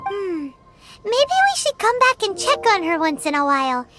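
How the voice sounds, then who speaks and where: A woman voicing a tiny, childlike fairy companion in an anime game, squeaky, excitable and blunt. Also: moderate pace, over music. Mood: worried. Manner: speaks of herself in third person.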